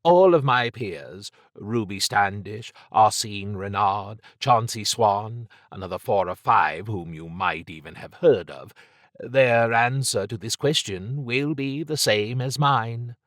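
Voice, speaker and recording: A man voicing a worldly, lazily amused woman thief who speaks in formal, measured phrases. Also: on the low side, a bit bright, dry recording, clean studio sound. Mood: grave.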